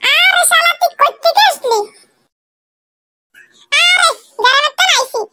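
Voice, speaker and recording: A performer voicing squeaky, childlike cartoon characters in comic skit dialogue, whiny, excitable, teasing and full of exclamations. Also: unhurried, exaggerated voice. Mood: whiny, startled.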